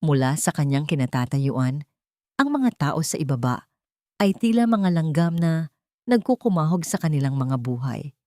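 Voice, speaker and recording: A woman's voice: low voice, animated, normal speed, darkish tone, no room sound, studio quality. A woman narrator reading a scripted romantic drama in a dramatic storytelling style.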